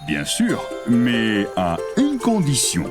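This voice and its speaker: An actor voicing a big, gentle, polite rhinoceros in a preschool cartoon, with an expressive manner. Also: mid-range voice, takes it slowly, vocal fry, full character voice. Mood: agreeable. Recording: loud music behind the voice.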